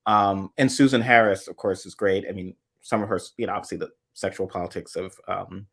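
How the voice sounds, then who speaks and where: A man from the American South co-hosting a casual chat, thoughtful and halting, often restarting his sentences. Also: low voice, fairly even intonation, vocal fry, a bit bright, slightly rough. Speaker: co-host.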